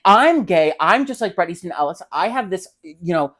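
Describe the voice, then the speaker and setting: A man's voice: high-pitched, expressive, normal speed, fairly bright tone. Opinionated American man chatting about books. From United States. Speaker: podcast co-host. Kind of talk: casual book chat.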